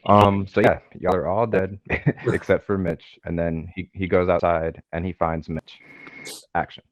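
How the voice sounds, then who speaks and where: Low-voiced man directing a read. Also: flat delivery, unhurried, no echo, lo-fi sound. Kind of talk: informal directing and scene summary.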